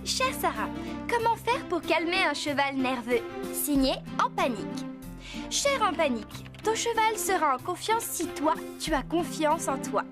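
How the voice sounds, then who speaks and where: Poised young cartoon rider, high, kindly, reassuring, over music. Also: female voice, sing-song, a little slow. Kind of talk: animated cartoon French dub acting.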